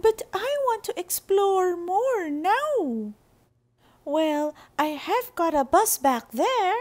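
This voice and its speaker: A woman narrating and voicing toy characters for children in a bubbly, animated way, with drawn-out exclamations. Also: very high voice. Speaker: toy channel storyteller.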